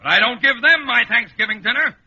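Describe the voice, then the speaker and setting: A man's voice: very high voice, fast talker, bright, forceful tone, husky, heavily acted. An actor playing an irritable, hungry middle-aged father with an American accent in a vintage radio comedy, exasperated and theatrical. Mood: indignant. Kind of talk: 1950s American radio sitcom acting. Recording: muffled, noisy old radio recording.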